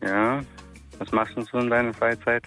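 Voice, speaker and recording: A man's voice: medium pitch, flat delivery, normal speed, pushed and bright. Man, 30s, radio caller, friendly, faint background music. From Italy. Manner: friendly, asks questions. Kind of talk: casual phone chat.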